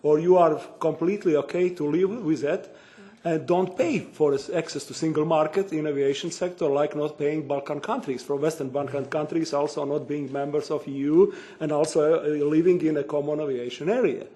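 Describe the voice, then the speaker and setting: A man, a parliament member, asks a long question at a committee hearing, halting often with fillers and restarts. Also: fairly high pitch, fairly even intonation, warm tone.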